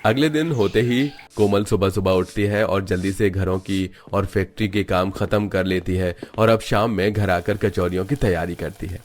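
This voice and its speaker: Male narrator, lowish register, scripted cartoon storybook read. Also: fairly even intonation, normal speed, few pauses, a little hiss or hum.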